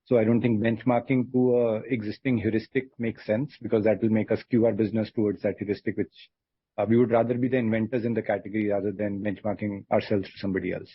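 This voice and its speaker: An Indian man, a company executive, answering analyst questions in a measured, firm, explanatory way with a husky voice. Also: on the low side, level tone, no echo. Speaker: company executive on an earnings call. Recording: dull, lo-fi conference call audio.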